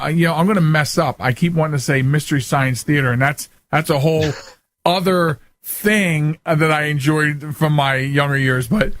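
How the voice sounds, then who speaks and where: A man in his forties with a voice a bit higher than most, hosting a relaxed conversation. He has a teasing, jokey manner. The sound is close and dry, with little room to it. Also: no echo. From United States, Philadelphia area. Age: 40s. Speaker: show host. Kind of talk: casual interview chat.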